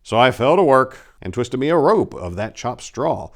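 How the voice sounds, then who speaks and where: A man voicing a boastful gentleman adventurer baron, telling tall tales in a grandiose, dramatic storybook reading. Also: medium pitch, big pitch swings, normal speed. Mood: grandiose, earnest.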